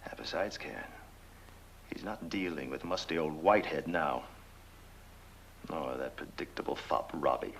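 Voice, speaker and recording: An actor playing a suave, scheming lawyer in horror-comedy film dialogue, with theatrical emphasis and hesitant murmurs. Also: male voice, rumbling low, moderate pace, vocal fry, pauses often, a bit bright, slight background noise, full character voice, poor audio. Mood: sly, confident. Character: suave, scheming male lawyer wooing a young widow.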